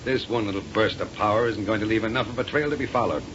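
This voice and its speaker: An actor playing a smug, menacing space villain in a 1930s radio serial, mock-soothing and taunting. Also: male voice, lowish voice, fast, lightly acted. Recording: muffled, hissy 1930s radio recording.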